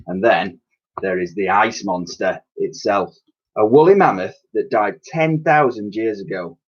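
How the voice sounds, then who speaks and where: A man in his thirties reading a children's storybook aloud in an expressive, explanatory way, like a teacher to pupils. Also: on the low side, measured pace, husky. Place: small attic room with sloped ceiling, indoors. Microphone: webcam or laptop mic at arm's length. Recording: dull, lo-fi webcam sound.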